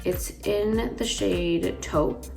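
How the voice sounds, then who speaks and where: A young woman with a raspy voice, chatting casually through a makeup tutorial with lots of filler words. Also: medium pitch, drawn-out words, a little mellow, faint music behind. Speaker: beauty vlogger.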